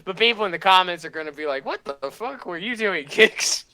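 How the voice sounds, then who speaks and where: A woman's voice: fairly low pitch, lively intonation, a little fast, sharp tone. A woman hosting a casual reaction video, playfully drawling and repeating her catchphrases, with music behind her. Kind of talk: casual reacting commentary with friends.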